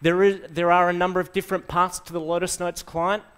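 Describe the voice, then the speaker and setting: A middle-aged Australian man delivers a lively tech talk in a lecture hall, his voice sitting a touch high. He keeps throwing questions at the audience and breaks in with quick asides. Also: smooth. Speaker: conference presenter.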